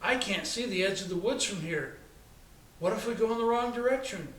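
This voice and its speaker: American guy doing a headstrong little farm girl from a storybook, eager, highish, roomy echo. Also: lively intonation, fairly quick, a bit bright. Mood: worried. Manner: exclaiming, childlike phrasing. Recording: echoey, distant, noisy room recording.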